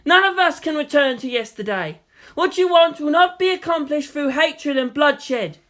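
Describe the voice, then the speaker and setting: A reader acting out an impassioned young warrior-preacher, high and bright in voice. He declaims with zeal, as if rallying a crowd in an audio story. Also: lively intonation, moderate pace. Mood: fierce, rousing. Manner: oratorical, zealous, declamatory. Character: impassioned young man preaching with chainsword through megaphone. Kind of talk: dramatic fan fiction reading.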